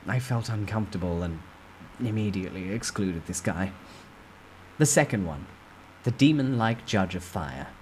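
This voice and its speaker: A man reading a novel aloud as a storyteller, with expressive, theatrical emphasis in a dramatic first-person narration. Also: fairly low pitch, moderate pace, pauses often, slight background noise, low-quality recording.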